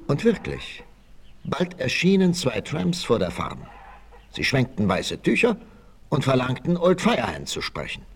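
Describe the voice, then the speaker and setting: A man's voice: medium pitch, wildly swooping pitch, measured pace, creaky, heavily acted. A man narrating a 1960s radio adventure as scripted, dramatic storytelling. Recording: 1968 vinyl radio play, noisy.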